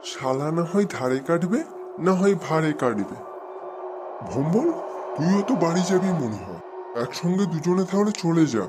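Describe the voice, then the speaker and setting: A man's voice: fairly high pitch, sing-song, moderate pace. A man playing a gossipy, grumbling village uncle in a cartoon, with a blunt, colloquial manner. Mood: gruffly chatty. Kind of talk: cartoon dialogue. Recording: loud music behind the voice.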